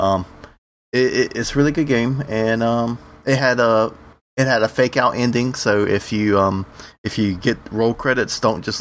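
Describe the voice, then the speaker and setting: A man's voice: medium pitch, fairly bright tone, a little hiss or hum. A man co-hosting a podcast, chatting loosely and swearing now and then. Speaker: podcast co-host. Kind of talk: casual chat.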